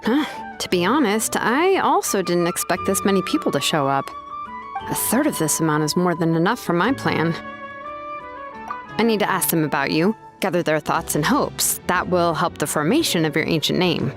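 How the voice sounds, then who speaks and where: A woman playing a laid-back, practical young craftswoman in a video game, casual and matter-of-fact with hesitant pauses. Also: fairly low pitch, huge pitch swings, over music. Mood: casual, focused.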